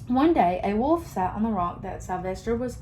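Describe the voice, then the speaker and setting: A young woman teacher reading a picture book aloud to her class in a slow, expressive storytelling manner. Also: mid-range voice, a little hiss or hum, poor audio.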